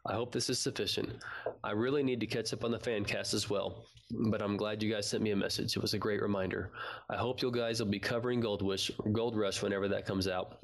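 Low, creaky-voiced man co-hosting a podcast. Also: fairly even intonation, a little fast. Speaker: podcast co-host. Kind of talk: casual fan podcast chat, some reading aloud of a listener email.

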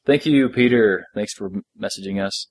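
Low-voiced man co-hosting a podcast. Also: normal speed, no room sound. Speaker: podcast co-host. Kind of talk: casual podcast chat, reading listener messages.

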